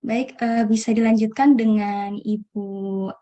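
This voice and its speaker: A woman's voice: mid-range voice, steady tone, takes it slowly, mellow, highs cut off. A young woman moderating a group discussion, courteously calling on each participant in turn. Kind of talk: polite formal moderating.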